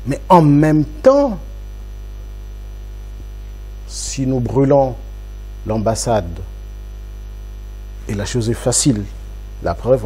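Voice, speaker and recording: A man's voice: on the low side, mellow, slightly rough, low-quality recording. A man from Congo, an opposition politician speaking in an interview, making his points emphatically with rhetorical repetition. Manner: emphatic, rhetorical, repeats phrases for effect.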